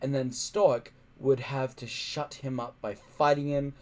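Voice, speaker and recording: A man's voice: mid-range voice, fairly even intonation, measured pace, darkish tone. A man reading a children's story aloud in a dramatic, emphatic narrating style. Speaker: audiobook-style story reader.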